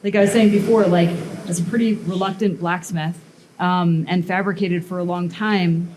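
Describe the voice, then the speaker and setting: A woman's voice: on the low side, fairly even intonation, moderate pace, darkish tone, low-quality recording. A woman blacksmith and sculptor giving a live workshop demonstration to an audience, talking casually and thinking aloud with fillers and restarts. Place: metal workshop at a smithing event.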